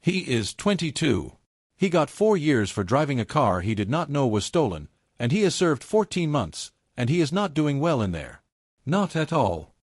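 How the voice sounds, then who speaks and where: A strained but steady secretary, a woman as read by a male narrator, confides in restrained audiobook dialogue. The intonation is expressive and the sound dry. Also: mid-range voice, normal speed, no room sound. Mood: strained confession.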